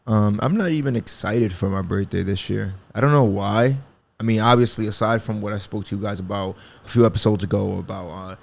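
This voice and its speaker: Male host, 20s, lowish gravelly voice, rambling casual podcast monologue. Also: darkish tone. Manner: rambling, reflective, swears freely, many fillers. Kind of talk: casual solo podcast talk.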